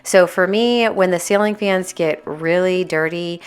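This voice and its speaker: A woman with a raspy, hoarse voice, chatting candidly as a homemaking vlogger. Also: fairly low pitch, measured pace. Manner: chatty, candid, a little exasperated. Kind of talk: casual vlog chat.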